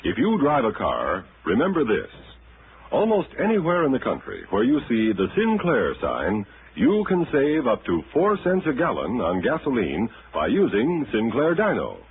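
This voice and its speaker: A man's voice: medium pitch, huge pitch swings, normal speed, slight background noise. An American man announcing on 1960s radio, reading scripted ads and credits in a formal, polished style. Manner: formal, polished sales delivery. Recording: tinny old radio broadcast.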